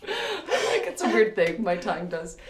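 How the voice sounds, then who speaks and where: A woman hosting a casual chat, with a naturally raspy voice and a chatty manner full of laughs and animated retellings. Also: on the high side, measured pace, slight background noise. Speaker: podcast host. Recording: roomy echo, mic at a distance.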